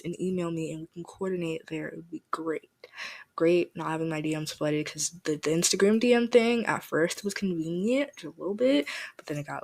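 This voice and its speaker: Lady podcast host, lowish voice, dry close sound, chatty and laughy. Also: no echo. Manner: chatty, laughs often, drawn-out asides. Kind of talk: casual interview chat.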